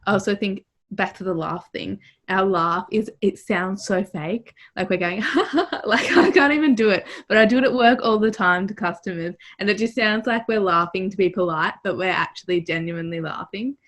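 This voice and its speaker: A woman's voice: medium pitch, moderate pace, hardly any pauses, a bit bright. A teenage woman with a broad Australian accent, co-hosting a casual podcast chat, giggly and teasing. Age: teens. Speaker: podcast co-host.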